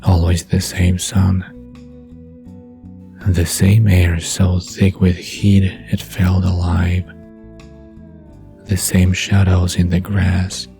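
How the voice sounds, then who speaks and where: A man playing a wistful, dreamy man recalling the past in a soft, murmuring bedtime drama monologue. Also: low-pitched, completely flat delivery, takes it slowly, long pauses, soft background music. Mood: dreamy, haunted.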